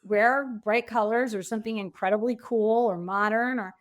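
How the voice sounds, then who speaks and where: A woman's voice: on the high side, steady tone, moderate pace. A woman speaking as a podcast guest, telling anecdotes in a casual, informal way and voicing quotes. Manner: informal, illustrates points with anecdotes and acted-out quotes. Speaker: podcast guest, author and coach. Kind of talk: casual conversational storytelling.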